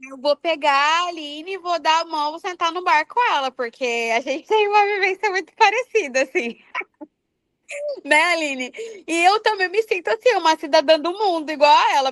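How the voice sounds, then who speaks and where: A Brazilian woman with an accent from outside Rio, chatting as a podcast guest in an animated, slangy way, telling personal stories. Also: high voice, dry recording. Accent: Brazilian, non-Rio. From Brazil, outside Rio de Janeiro. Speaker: podcast guest, funk singer. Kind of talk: casual chat, personal storytelling.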